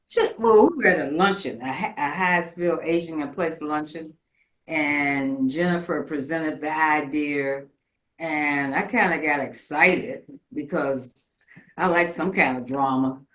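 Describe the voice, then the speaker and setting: An older woman with a low voice talks casually and effusively in a group conversation. She repeats her affirmations, and the room adds a clear echo around her. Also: fairly bright tone. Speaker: participant in a community storytelling theatre group. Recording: roomy echo.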